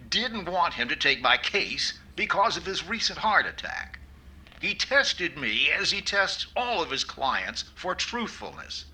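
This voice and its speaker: A fussy, vain comedian host, played by a man in old-time radio comedy. The voice is fairly high and very animated, with a bright edge and measured, dry punchlines. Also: exaggerated voice, poor audio.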